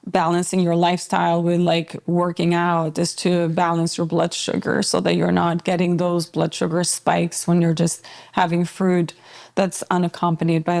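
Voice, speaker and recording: A woman from Albania, a podcast guest who talks about fitness in a relaxed, rambling way with frequent filler words. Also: on the low side, creaky. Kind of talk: casual conversational interview.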